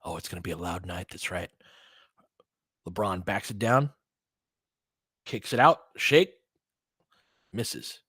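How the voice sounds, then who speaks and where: A man's voice: low-pitched, expressive, takes it slowly, long pauses, fairly bright tone, slightly rough, no echo, studio quality. A man doing casual live basketball commentary, switching between clipped play calls and conversational analysis of the game.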